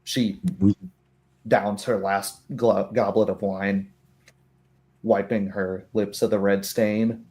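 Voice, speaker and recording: A man runs a tabletop roleplaying game as its narrator. His voice is fairly low and slow, setting each scene in measured, halting phrases. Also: flat delivery, a little mellow. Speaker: game master.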